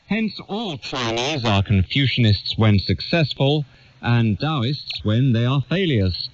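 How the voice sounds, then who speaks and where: A man's voice: medium pitch, dry recording. Man reading formally in dull, dry audio. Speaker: reader of an essay. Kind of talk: scripted narration, reading a literary essay aloud.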